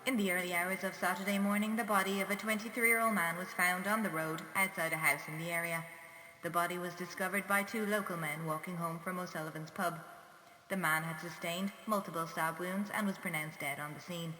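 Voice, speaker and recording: An actress playing a sober news reader, delivering a formal, measured bulletin in an audio drama. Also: female voice, on the low side, steady tone, pushed and bright, reverberant, in a large hall, low-quality recording. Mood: grave, formal. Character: sober female news reader reporting a killing.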